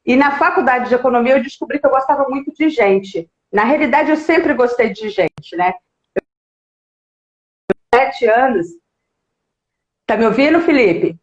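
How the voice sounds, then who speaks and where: A high-pitched woman from Minas Gerais, Brazil, talks as a guest in a live stream. She is chatty and friendly, stopping to greet viewers by name. Her voice comes over a video call that sounds roomy and echoey, with a dull, muffled tone. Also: expressive, measured pace, pauses often. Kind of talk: casual live-stream chat.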